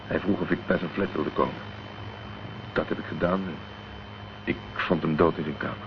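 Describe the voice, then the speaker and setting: An actor playing a blunt, protective middle-aged private detective in a Dutch radio drama, terse and direct. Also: male voice, rumbling low, fairly even intonation, moderate pace. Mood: sober, explaining. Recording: muffled, noisy old radio recording.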